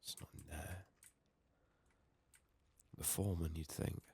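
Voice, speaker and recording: Very deep, hushed ASMR man. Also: fairly even intonation, gravelly, breathy edge. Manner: hushed, unhurried muttering to himself. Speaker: video game streamer, host. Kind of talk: soft-spoken ASMR game playthrough commentary, partly whispered. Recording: faint noise, keyboard typing and mouse clicks. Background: keyboard and mouse clicking.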